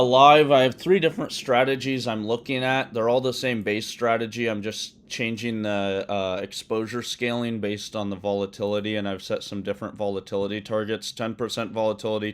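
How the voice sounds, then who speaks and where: A man hosting a live coding stream, talking loosely with many ums and uhs and correcting himself as he goes, his voice a bit hoarse. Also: fairly low pitch. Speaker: livestream host coding a trading tool. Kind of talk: casual live-stream explanation.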